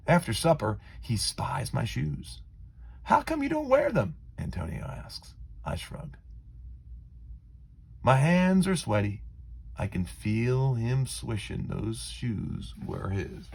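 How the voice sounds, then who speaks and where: A man reading a children's story aloud in an expressive, dramatic way with deliberate phrasing. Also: medium pitch, vocal fry, slightly rough. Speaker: storybook reader.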